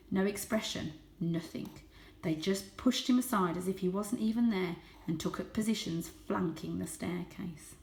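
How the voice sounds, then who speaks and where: A woman reading a children's book as dramatic narration, lingering on key words and hushing for suspense. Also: fairly low pitch. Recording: roomy, echoey sound.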